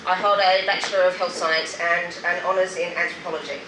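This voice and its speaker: A woman's voice: lowish voice, fairly even intonation, a little fast. A middle-aged woman with an Australian accent giving a candid, emphatic personal testimony with wry humour in a public speech. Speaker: guest speaker, anti-poverty group member sharing her story. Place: roomy venue at a public event. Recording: roomy, noisy, distant event microphone.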